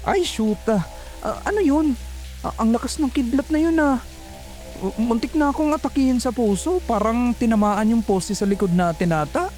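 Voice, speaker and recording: Woman acting as nervous chatty young filipina office worker in a horror audio drama, stammery, high voice, music behind. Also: moderate pace, warm tone, lightly acted. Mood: startled, relieved. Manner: stammering, colloquial, exclaiming. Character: tired, chatty, nervous young female call center agent.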